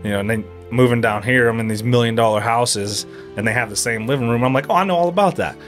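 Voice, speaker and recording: A young man from Erie, Pennsylvania, chatting casually as a podcast guest, animated and full of dude and bro. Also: medium pitch, fast, soft background music. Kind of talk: casual chat.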